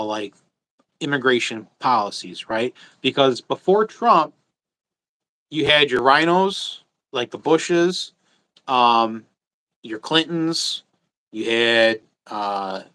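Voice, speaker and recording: A man with a sharp, bright voice at an average pitch hosts an informal livestream. He talks off the cuff in a blunt, opinionated way. He often repeats a phrase to drive it home. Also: rough recording. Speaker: host of a livestream. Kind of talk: casual livestream rant and Q and A, off the cuff.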